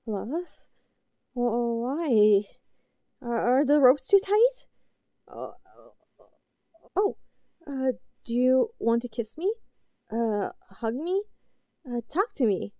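A woman playing an obsessive, possessive, lovesick captor in an audio roleplay, speaking haltingly and pleadingly with an unhinged edge. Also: fairly high pitch, dry recording, studio quality, full character voice. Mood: unsteady, tender. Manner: halting, pleading, swinging between soft cooing and outbursts. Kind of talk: scripted audio roleplay, intimate and melodramatic.

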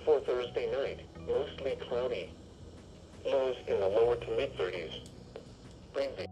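A man's voice: medium pitch, measured pace, dark tone, faint music behind, low-quality recording. A man reading a weather forecast, heard through a radio speaker. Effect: radio speaker playback. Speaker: weather broadcaster. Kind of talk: automated weather forecast readout.

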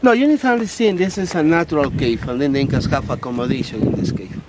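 A man in his forties working as a tour guide, explaining in halting non-native English, recorded by a visitor's camera inside a rock cave. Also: on the high side, very noisy. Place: inside a rock cave and on an outdoor hillside path. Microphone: tourist's camera at a few steps' distance. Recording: echoey cave recorded from a distance.

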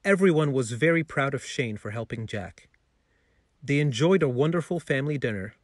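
A man's voice: medium pitch, lively intonation, normal speed, no echo, studio quality. A man narrating a scripted bedtime story for children as a storyteller.

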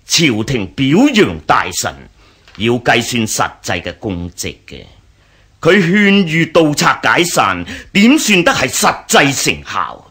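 A powerful, scheming regent in a radio drama. He speaks Hong Kong Cantonese in a husky voice, slowly and pompously, with big dismissive swoops. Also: medium pitch, very animated, bright, forceful tone, heavily acted. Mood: lofty, dismissive. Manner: pompous, dismissive, drawn-out delivery. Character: haughty, scheming powerful regent, elder brother.

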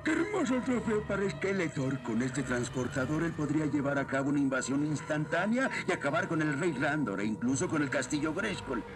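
A man's voice: a little high, sing-song, brisk, hardly any pauses. A man playing a menacing, gloating villain's henchman in a cartoon dub, with a Latin American Spanish accent. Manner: gloating, threatening. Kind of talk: dubbed cartoon villain acting. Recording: music behind the dialogue.

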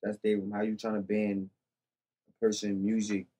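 A man's voice: lowish voice, completely flat delivery, normal speed, dark tone, slightly rough. A young man chatting casually as a podcast guest, laid-back and repeating short phrases, on a studio microphone. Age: young adult. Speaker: podcast guest, music artist. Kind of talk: casual chat. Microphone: boom-arm studio microphone with headset.

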